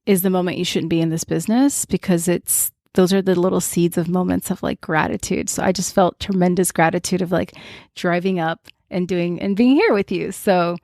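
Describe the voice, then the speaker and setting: A woman's voice: lowish voice, steady tone, moderate pace, darkish tone, dry recording, studio quality. A woman from Brazil, hosting a casual interview in a chatty, enthusiastic way. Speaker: podcast host.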